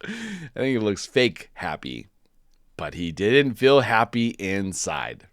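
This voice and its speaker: A man reading a children's storybook aloud in animated narration with casual asides, hosting as he goes. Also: on the low side, takes it slowly, no room sound, clean studio sound. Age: adult parent. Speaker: storybook reader and channel host.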